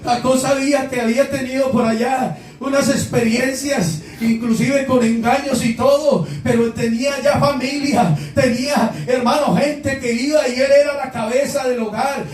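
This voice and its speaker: A man's voice: very high voice, steady tone, normal speed, hardly any pauses, a little mellow, echoey room, distant mic. A man with a Colombian accent preaching a sermon to his congregation, impassioned and calling out to the people in front of him. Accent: Colombian Spanish. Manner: impassioned, exclaiming, direct address to the congregation. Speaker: pastor. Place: church hall.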